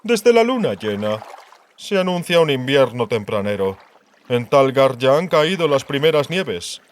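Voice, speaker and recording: A man's voice: medium pitch, sing-song, measured pace, pitched above the performer's own voice. A man voicing a haughty, courteous aristocratic ambassador in a dramatized fantasy audiobook, with formal, ceremonious speech. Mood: formal, stiff. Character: haughty aristocratic male ambassador, courteous diplomat hiding resentment.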